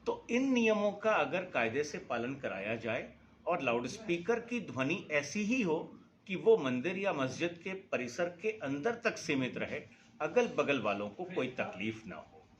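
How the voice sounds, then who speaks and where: A middle-aged man explains the rules point by point, earnest and emphatic. His pitch rises and falls a lot as he leans into key points. A faint hum of room noise sits under his voice. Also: very animated, unhurried, lo-fi sound. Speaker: noise pollution campaigner, foundation secretary. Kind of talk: explanatory interview answer.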